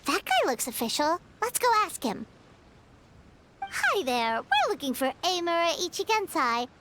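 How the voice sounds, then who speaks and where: Casting: tiny cheeky fairy companion for a game; high, squeaky, very animated. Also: female voice, unhurried, very noisy, full character voice. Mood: chirpy, curious. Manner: chirpy, expressive, squeaky cartoon delivery. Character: small, perky, cheeky childlike fairy companion girl. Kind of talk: animated video game voice acting.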